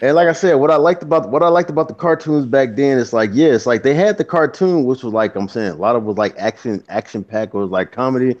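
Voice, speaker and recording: A man's voice: medium pitch, fast talker, few pauses, a little mellow, lo-fi sound. A man talking casually in long rambles full of fillers. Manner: rambling, filler-heavy nostalgic talk. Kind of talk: casual chat.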